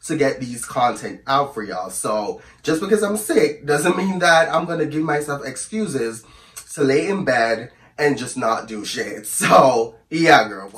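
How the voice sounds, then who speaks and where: A man with a hoarse voice from the flu, telling a storytime to camera in a chatty, sassy, rambling way and calling his viewers "girl". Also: fairly high pitch, fairly bright tone, echoey room, far from the mic. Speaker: storyteller vlogger. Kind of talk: casual storytime chat to camera.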